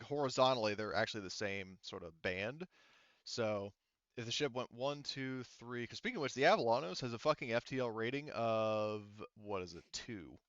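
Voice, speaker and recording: A man game-mastering a tabletop game, explaining things in a halting, rambling, casual way. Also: medium pitch, a bit bright, smooth, dry recording, highs cut off.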